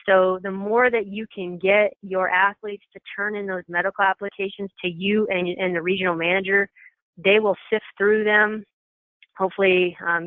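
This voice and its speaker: A program coordinator giving an informal, matter-of-fact, explanatory briefing to coaches over a telephone conference call. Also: mid-range voice. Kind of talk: informal meeting presentation. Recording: tinny, echoey telephone conference line.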